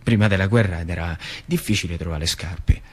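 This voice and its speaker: A man's voice: deep voice, fairly quick, husky. An actor playing an old working-class factory man with a central Italian accent from northern Lazio, rambling and confiding as he reminisces in a theatrical monologue. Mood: wry, confiding. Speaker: stage actor.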